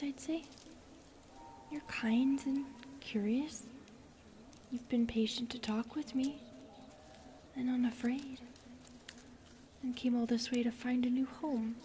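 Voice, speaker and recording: A shy young female forest spirit, played by a woman, whispers very slowly and haltingly. The voice is mellow and tender, in the style of an ASMR roleplay, with faint ambient noise behind it. She sounds lonely and gentle. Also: sits high. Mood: tender, wistful.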